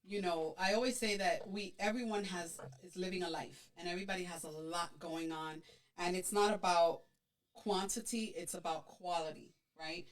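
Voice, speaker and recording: A woman's voice: fairly low pitch, fairly even intonation, normal speed, poor audio. A woman co-hosting a podcast, chatting casually and reading out audience comments, with many restarts and fillers. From Puerto Rican background. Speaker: podcast co-host.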